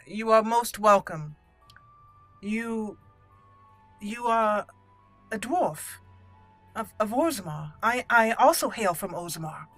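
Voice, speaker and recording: A woman voicing a tough, stoic young elf mage in a fantasy game roleplay, speaking formally and with measured words. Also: medium pitch, a bit bright, faint music behind. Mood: pleased, courteous. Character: tough, guarded young female elf mage, dwarf-raised.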